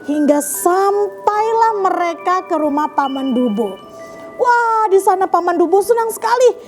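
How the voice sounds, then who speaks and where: A woman with an extremely high voice tells a story to children. She addresses her young audience directly with questions and exclamations, over faint music. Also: big pitch swings, a little slow.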